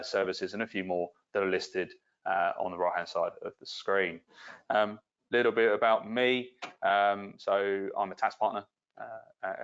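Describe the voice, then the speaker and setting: A man with a lowish voice talks through a business webinar. His delivery is easygoing and full of "uh"s. The sound is dull and lo-fi, like an online call. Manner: easygoing, with many uh fillers and restarts. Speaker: tax partner presenting.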